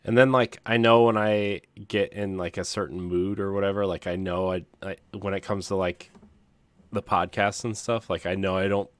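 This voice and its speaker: A man's voice: sits low, steady tone, normal speed, clean tone, no room sound. A man co-hosting a podcast, talking in a casual, confessional way, hesitant and often trailing off. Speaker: podcast co-host.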